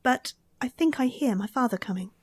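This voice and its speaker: A woman's voice: on the high side, animated, a little fast, darkish tone, slightly rough, no echo. A woman playing a professor's earnest, polite young daughter in an old-style radio mystery. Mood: eager, helpful.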